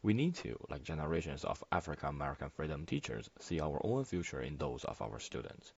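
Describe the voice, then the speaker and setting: Very deep, husky young man. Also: big pitch swings, brisk, darkish tone. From China. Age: young adult. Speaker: student speaker. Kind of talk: scripted personal statement. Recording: dry, dull lo-fi microphone.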